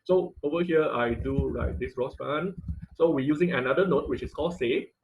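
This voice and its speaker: A man teaching an online class in an informal, halting tutorial style, often checking in with listeners. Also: a little high, vocal fry, dull audio, a little hiss or hum. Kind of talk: informal tutorial with live demonstration. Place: online video call class.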